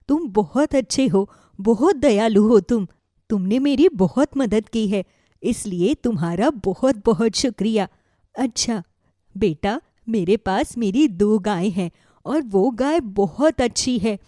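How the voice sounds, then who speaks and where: A kindly old woman from a cartoon folk tale, played by a woman. Her voice is soft, mellow and fairly high. She speaks with affectionate, gentle pleading, recorded dry. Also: no room sound. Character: kindly old village woman living alone. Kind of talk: animated Hindi moral story voice acting.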